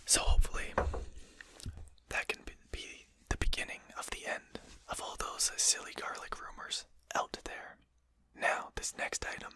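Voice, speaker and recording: Whispering, composed, condescending vampire, acted out softly. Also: natural voice. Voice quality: breathy unvoiced whisper. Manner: slow, articulate, formal, gently mocking. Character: composed, courteous, condescending vampire addressing a hunter. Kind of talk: whispered ASMR roleplay.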